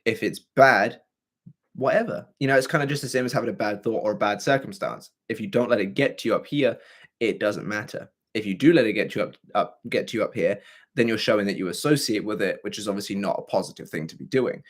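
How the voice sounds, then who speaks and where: A hoarse young man with a scratchy, throaty voice, hosting a livestream and chatting casually with viewers. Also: on the low side, fast. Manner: chatty and rambling, with frequent fillers and throat clearing. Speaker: livestream host. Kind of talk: casual livestream chat answering viewer comments.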